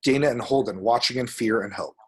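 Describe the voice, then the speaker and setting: A man with a husky voice narrating action descriptions at a screenplay table read. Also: mid-range voice, fairly quick.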